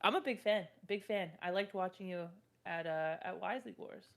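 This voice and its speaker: A young woman guesting on a stream over a voice call, loud, playful and quick to laugh. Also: fairly low pitch, gravelly. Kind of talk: casual chat over voice call. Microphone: voice call mic.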